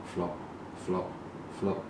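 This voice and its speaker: A man reading a children's picture book aloud in a deliberate, animated way, with emphasized sound words. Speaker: storybook reader. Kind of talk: expressive children's read-along narration. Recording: very echoey, distant, noisy room.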